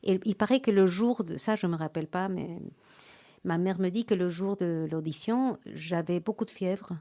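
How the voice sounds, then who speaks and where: A middle-aged woman from Argentina, a Spanish native speaker, recounting her career in a relaxed interview with frequent restarts and fillers. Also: lowish voice, moderate pace. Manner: hesitant, many restarts and fillers. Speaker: pianist and actress, interview guest.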